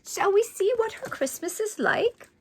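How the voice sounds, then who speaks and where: A woman in character as a children's storyteller, reading in a theatrical, gushing manner with exclamations and dramatic pauses. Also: very high-pitched, animated. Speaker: children's storybook reader in character as Mrs. Claus. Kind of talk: animated storytelling for young children.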